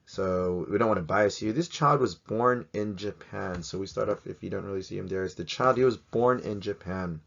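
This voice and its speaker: A man's voice: sits low, moderate pace, gravelly, hardly any pauses, fairly bright tone, lo-fi sound. A man teaching in a casual, chatty way, joking and asking many rhetorical questions. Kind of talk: casual educational talk to camera.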